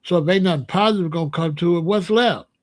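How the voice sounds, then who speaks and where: A man with a somewhat high voice runs his own opinion show. He speaks bluntly in loose street slang and keeps firing rhetorical questions. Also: quick pace. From Chicago. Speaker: show host. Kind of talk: casual opinion monologue.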